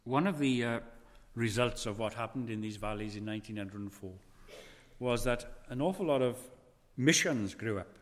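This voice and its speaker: A male preacher speaks in a mid-range voice, deliberate and solemn. His phrases often sink into near-whispers for emphasis. The sound rings with the long echo of a church hall. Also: mid-range voice. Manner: slow, weighty preaching with dramatic drops in volume. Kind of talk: sermon.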